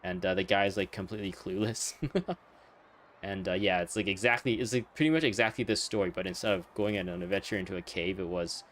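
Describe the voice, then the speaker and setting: A man's voice: low voice, a little fast, a bit bright. A man giving casual live gameplay commentary as a streamer, rambling and chuckling. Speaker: game streamer. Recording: clear music behind the voice.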